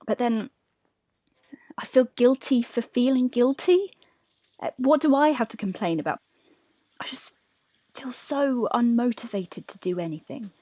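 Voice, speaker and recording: A woman acting a restless, guilt-ridden diarist in a confessional monologue, subdued and halting. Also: a little high, warm tone, dry recording, clean studio sound. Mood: guilty, unmotivated. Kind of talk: acted confessional diary monologue.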